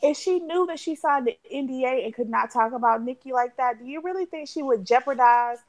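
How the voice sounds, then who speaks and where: A woman in her thirties riffing on a podcast, animated and profane, who breaks into laughs often. Her voice is markedly high. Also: fairly quick. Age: 30s. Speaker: podcast co-host. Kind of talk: casual chat.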